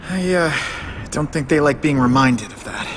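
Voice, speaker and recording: Man playing a youthful, high-voiced reporter. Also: animated, normal speed, a little hiss or hum, close to an everyday voice. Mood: wary. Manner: casual, slightly hesitant. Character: young male hero posing as reporter, earnest, wary. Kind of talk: video game dramatic acting.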